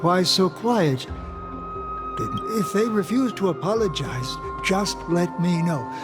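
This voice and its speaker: A gentle old father from a fantasy game, forgetful and chatty. His voice sits fairly high for a man and swings widely in pitch, unhurried and halting, over clearly audible music. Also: huge pitch swings, heavily acted. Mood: kindly, wistful. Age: older. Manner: halting, rambling, addresses others as young ones. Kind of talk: video game voice acting.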